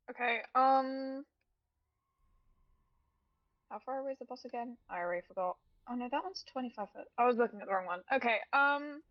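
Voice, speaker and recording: A woman's voice: fairly high pitch, dry recording. A woman talking casually as a player in a tabletop game, through a dull, lo-fi mic. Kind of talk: casual gaming chat.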